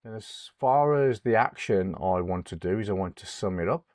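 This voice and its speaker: A middle-aged man, hoarse from a cold, hosting a screen-recorded spreadsheet tutorial. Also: on the low side, lively intonation, normal speed, a little mellow, no echo, studio quality. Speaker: host of an Excel tutorial channel.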